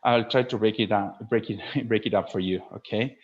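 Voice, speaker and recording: A man's voice: on the low side, fairly even intonation, fairly quick. A man presenting a webinar to fellow teachers in a didactic way, stressing key words and often checking in with a short questioning tag. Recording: dull lo-fi online webinar audio.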